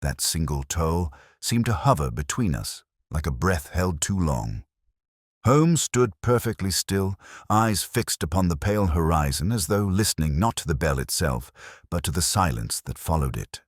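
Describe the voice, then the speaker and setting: A man's voice: low voice, animated, moderate pace, no room sound, studio quality. A man reading a scripted mystery story as a dramatic narrator.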